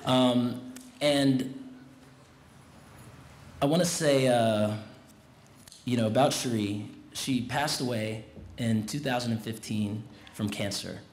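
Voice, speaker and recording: A man in his thirties giving a commencement speech at a podium mic in a big tent, talking in a relaxed, conversational way and getting the crowd involved. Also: medium pitch, slow, long pauses. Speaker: commencement guest speaker. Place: large tent at an outdoor graduation. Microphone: podium mic on a stand. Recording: roomy tent sound with faint noise.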